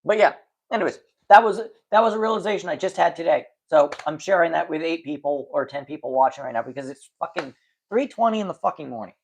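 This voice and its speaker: A man's voice: fairly high pitch, lively intonation, quick pace, creaky, husky. A man livestreaming late at night, chatting casually and frankly with his viewers, with a stutter that makes him repeat words and restart sentences. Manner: rambling, frank, lots of fillers and restarts.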